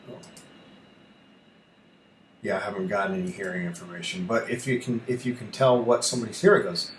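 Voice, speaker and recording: A man thinking aloud through a casual screen tutorial as a hobby radio host, halting and muttering to himself as he works. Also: lowish voice, level tone. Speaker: amateur radio YouTuber, host. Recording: echoey room with faint background noise.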